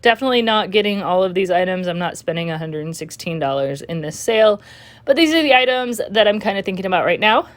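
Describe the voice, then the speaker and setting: A woman's voice: medium pitch, lively intonation, quick pace. A young woman vlogging her shopping with a chatty, filler-heavy voice that is a little congested from a cold. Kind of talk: casual screen-recording walkthrough.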